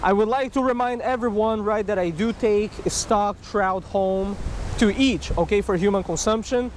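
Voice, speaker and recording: Guy with a highish voice doing a casual fishing tutorial by a creek, keeps saying right and okay, some water noise. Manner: animated, repeatedly checks in with "right?" and "okay?". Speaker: fishing video host. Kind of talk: casual tutorial commentary to camera. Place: outdoors beside a rushing creek. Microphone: body-worn action camera. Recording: outdoor noise of rushing water. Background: rushing water.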